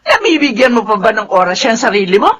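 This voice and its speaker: A stern, nagging middle-aged mother in a radio drama. Her voice is bright and forceful and swings up and down as she scolds. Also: on the high side, very animated, fast, husky, full character voice. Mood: exasperated, scolding. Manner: scolding, suspicious, sharp.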